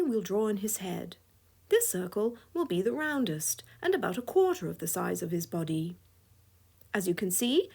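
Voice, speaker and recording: A woman's voice: mid-range voice, gravelly, a little mellow, husky. A woman with a scratchy voice giving a drawing tutorial voice-over, playful and chuckling often. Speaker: drawing instructor.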